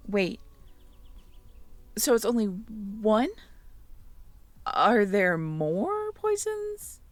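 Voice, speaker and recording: Woman going real slow over her notes, asking the listener if she got it right, soft little laughs. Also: mid-range voice, very animated, no room sound. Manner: musing aloud, reading notes, checking back with the listener. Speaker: audio roleplay performer. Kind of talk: intimate audio roleplay, talking to the listener.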